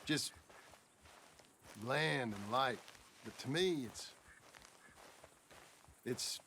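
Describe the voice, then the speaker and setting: An actor playing a gruff but tender frontier rancher father with an American Western accent, a gravelly voice and a folksy, halting delivery, in a video game. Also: medium pitch, animated, sharp tone, a little hiss or hum, exaggerated voice. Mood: reflective. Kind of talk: naturalistic video game acting.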